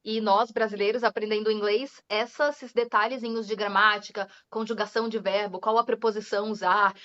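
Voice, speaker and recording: A woman's voice: medium pitch, little pitch movement, fairly quick, fairly bright tone. A Brazilian woman, an English teacher, chatting casually in an interview with a Brazilian accent, laughing often and slipping English words into her speech. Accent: Brazilian Portuguese. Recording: dull lo-fi sound.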